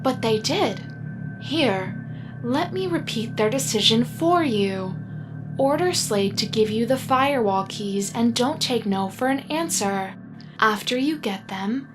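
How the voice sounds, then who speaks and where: A woman's voice: on the high side, expressive, a little slow, music in the background. A woman voicing a cold, mocking woman commander in an audio drama, speaking with controlled threat. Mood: mocking, bitter. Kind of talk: audio drama character dialogue in a narrated serial.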